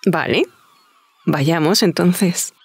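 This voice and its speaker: A woman's voice: on the low side, extremely slow, slightly rough, dry recording, heavily acted. A woman playing a shy, hesitant young woman in an audio drama. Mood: agreeable. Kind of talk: Spanish-language audio fiction acting.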